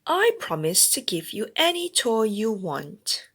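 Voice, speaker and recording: Principled storybook queen, read by a woman, firm and measured with lively rises in pitch. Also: breathy edge, exaggerated voice. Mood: kindly firm.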